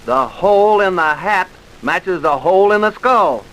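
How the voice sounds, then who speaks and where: Bright-voiced, dogged radio-drama police trooper. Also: male voice, high-pitched, lively intonation, unhurried, rough recording. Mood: intent, puzzled. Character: earnest, dogged young police trooper investigating a killing. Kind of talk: old-time radio drama acting. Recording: hissy vintage radio recording.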